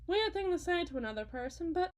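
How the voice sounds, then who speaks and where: A woman playing an unsettling, fervent faith healer and self-styled prophet in a dramatic roleplay monologue, sweetly coaxing and pleading, stretching her words and dropping into hushes. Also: very high-pitched, big pitch swings. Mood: wry, hurt. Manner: theatrical, pleading, sing-song preaching with drawn-out words and sudden hushes.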